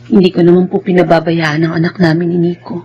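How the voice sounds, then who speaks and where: A woman's voice: on the low side, flat delivery, fast talker, dark tone, muffled recording, a little hiss or hum, lightly acted. An actress playing a dejected but stubbornly loyal young wife and mother in a Filipino radio drama, pleading plaintively.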